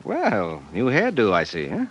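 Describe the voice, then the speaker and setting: An actor playing a jittery, bewildered ordinary office man in a 1950s radio drama, with an excitable, exclamatory manner. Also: on the low side, measured pace, highs cut off, slight background noise. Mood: puzzled.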